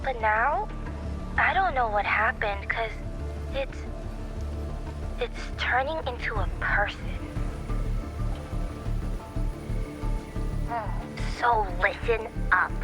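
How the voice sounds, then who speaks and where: Quirky young female forecaster for a game: high voice, slangy, playful, music underneath. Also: takes it slowly, pauses often. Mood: breezy concern. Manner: slangy, playful, animated explaining. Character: quirky, breezy young woman reading energy forecasts. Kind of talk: video game character acting. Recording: music behind the voice.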